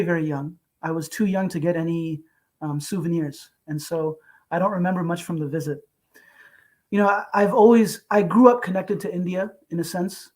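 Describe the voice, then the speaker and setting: A young man, a co-author on a panel, who answers questions in a measured and reflective way. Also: fairly high pitch, a little mellow. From United States. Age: young adult. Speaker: co-author, guest.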